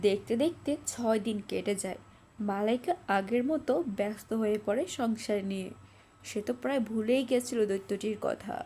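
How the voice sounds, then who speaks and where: A woman's voice: mid-range voice, normal speed, warm tone, a little hiss or hum. A woman reading scripted fairy tale narration as the storyteller.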